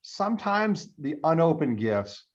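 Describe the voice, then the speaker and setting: A man's voice: on the high side, very animated, measured pace. A man hosting a casual discussion, talking discursively and circling back over his phrases. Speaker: host. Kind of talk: casual moderating chat. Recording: dull lo-fi call audio.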